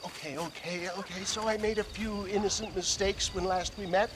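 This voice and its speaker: Pompous high-pitched old snake-oil salesman. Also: fairly even intonation, moderate pace, fairly bright tone, a little hiss or hum. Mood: flustered pleading. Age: older. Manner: pompous, florid, flustered wheedling. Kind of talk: theatrical video game acting.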